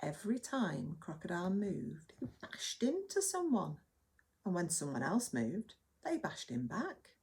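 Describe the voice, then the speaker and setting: A woman reading a children's story aloud as a nursery teacher, with dramatic emphasis and hushed moments. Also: on the low side, wildly swooping pitch, measured pace, vocal fry.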